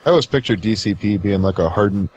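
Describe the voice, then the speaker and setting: Lowish-voiced man in casual chat. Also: lively intonation, quick pace, darkish tone, breathy edge, highs cut off. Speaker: podcast panelist asking questions.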